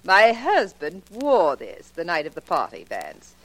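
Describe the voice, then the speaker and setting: A woman's voice: lowish voice, huge pitch swings, measured pace, full character voice, poor audio. An actress playing a cold, scornful wife in a 1940s radio crime drama, cutting and theatrical in her phrasing. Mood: cold defiance.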